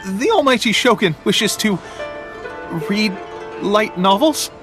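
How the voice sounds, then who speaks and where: A jittery shop clerk with a notably high voice. He stammers and defers, his pitch jumping around like a flustered video game character. Music plays clearly behind him. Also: expressive, slow. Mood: nervous, puzzled. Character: nervous, deferential male publishing house clerk.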